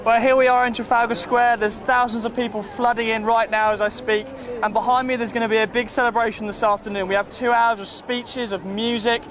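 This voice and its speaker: Man reporting live from a busy street, high and bright voice, upbeat, handheld mic outdoors. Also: little pitch movement, a little fast, few pauses, crowd noise. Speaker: field reporter. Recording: outdoor recording with street noise.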